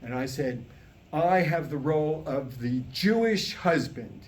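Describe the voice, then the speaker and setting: A man's voice: a little high, animated, measured pace, a little mellow. An older man giving an informal, folksy and humorous introduction on stage, chatting with a live audience. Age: 60s or older. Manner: folksy, joking, talks with the audience. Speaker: master of ceremonies introducing a show. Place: on stage at a podium before a small live audience.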